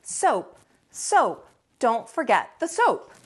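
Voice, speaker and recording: Woman as a gentle, animated country mama. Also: a little high, takes it slowly, heavily acted. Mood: fond reminding. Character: loving, trusting country mama in storybook.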